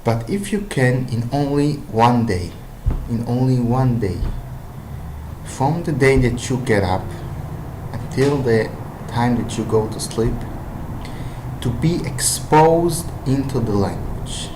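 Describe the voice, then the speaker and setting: Slow, hesitant Israeli man. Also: medium pitch, noisy background. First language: Hebrew. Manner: hesitant, many fillers and restarts. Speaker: language-learning vlogger. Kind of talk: casual advice monologue. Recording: roomy echo.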